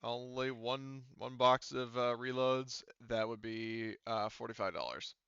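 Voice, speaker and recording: A man runs a tabletop game in a smooth, bright voice. He thinks aloud as he makes casual rulings, often pausing and restarting. The sound is dry but a little muffled at the top. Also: mid-range voice, dry recording. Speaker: game master.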